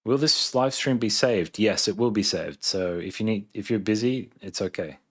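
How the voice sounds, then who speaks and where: A man's voice: fairly low pitch, moderate pace. A man in his thirties with an Australian accent, chatting casually on a livestream, thinking aloud as he reads and answers questions. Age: 30s. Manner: laid-back, thinking aloud. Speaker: live streamer, software engineer.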